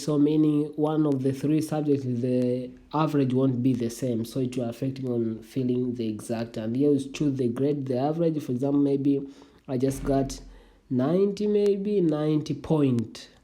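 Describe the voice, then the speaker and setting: A young adult speaker whose first language is Swahili, giving a halting, casual walkthrough of an online application, with many restarts and calling viewers "family". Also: mid-range voice, warm tone, poor audio. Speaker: tutorial vlogger.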